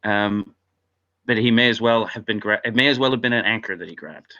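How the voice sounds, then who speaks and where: Low, forceful man, dull lo-fi audio. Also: brisk. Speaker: narrator reading stage directions. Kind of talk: scripted narration at an informal table read.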